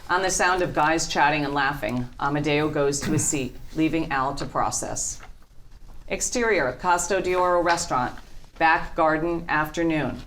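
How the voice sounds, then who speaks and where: A woman with a low voice reads stage directions at a script read-through. The delivery is scripted narration, with faint room noise behind it. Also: normal speed, fairly bright tone, low-quality recording.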